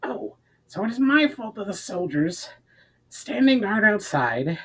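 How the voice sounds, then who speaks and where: A man's voice: high voice, very animated, unhurried, a bit bright, husky, pitched above the performer's own voice, full character voice. A man voicing a short, irritable male adventurer from a fantasy story, snarling accusations. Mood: angry, breathless.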